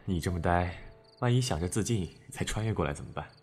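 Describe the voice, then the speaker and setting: Man playing an aloof, very low-voiced spirit lover. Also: expressive, quick pace, a little mellow, soft background music. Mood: cool, teasing. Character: guarded, teasing immortal-spirit man, aloof but devoted. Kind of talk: Chinese costume audio drama acting.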